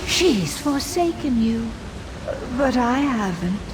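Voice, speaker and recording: A woman voicing a cold, menacing dark spirit in a video game, taunting and tempting. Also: fairly high pitch, very animated. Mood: sinister coaxing. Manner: slow, menacing, deliberate. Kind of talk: dramatic video game dialogue. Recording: noisy ambient hiss behind voice.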